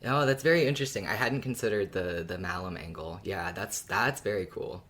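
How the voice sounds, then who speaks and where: A podcast co-host talking casually and thoughtfully, explaining ideas in a measured, informal way. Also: low voice, fairly bright tone. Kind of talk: casual fan discussion, explanatory.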